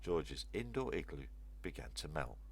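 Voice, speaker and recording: A man's voice: very deep voice, very animated, normal speed, gravelly, darkish tone, a little hiss or hum. An older man narrating a children's story aloud as a storyteller, in short simple sentences.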